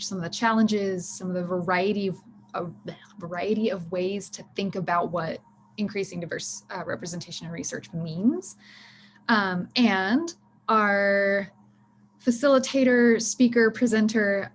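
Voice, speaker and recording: A woman's voice: mid-range voice, a little hiss or hum. Chatty woman hosting a video call. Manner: chatty, filler-heavy housekeeping talk. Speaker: host of an education series. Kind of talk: casual online session intro.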